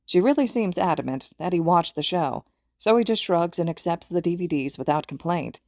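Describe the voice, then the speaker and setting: Woman narrator, lowish voice, scripted story reading, dry close recording. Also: animated, moderate pace, a little mellow, no room sound. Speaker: podfic narrator.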